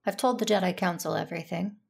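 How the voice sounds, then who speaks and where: An actress playing a stern, principled warrior-monk in a space opera audio drama, measured and formal in her delivery. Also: on the low side, gravelly, slightly rough, exaggerated voice. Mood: stern, composed. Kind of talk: scripted audio drama dialogue.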